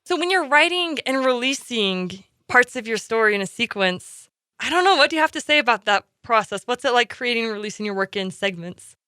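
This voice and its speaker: Bright-voiced woman podcast host, dry close sound. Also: a little high, clear, resonant tone, no room sound. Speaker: podcast host interviewing a guest. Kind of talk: conversational interview.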